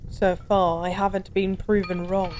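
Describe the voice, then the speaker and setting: A woman's voice: mid-range voice, flat delivery, a little slow, a little mellow, natural voice. A woman playing a drunk, melancholy stranger who was once a god, confiding in a wistful, rambling, hesitant way in an intimate audio roleplay. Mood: brooding. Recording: clear background ambience noise. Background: bar ambience.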